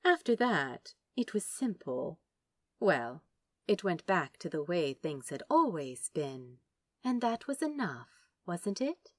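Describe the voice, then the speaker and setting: A woman narrating a piece of fiction from a script in an expressive storytelling style. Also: fairly low pitch, slow pace, no room sound. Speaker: podfic narrator.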